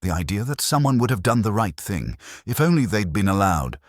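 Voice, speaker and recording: A man reading a scripted mystery story in a measured, literary storytelling manner. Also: on the low side, sing-song, no echo, clean studio sound. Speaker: narrator.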